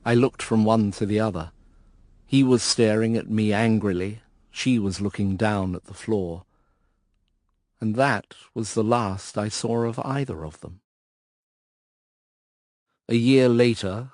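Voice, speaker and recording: An actor playing a reflective gentleman narrating his memories in a measured, sombre way in a radio drama. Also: on the low side, dry recording, clean studio sound, close to an everyday voice. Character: reflective gentleman recalling a lost love.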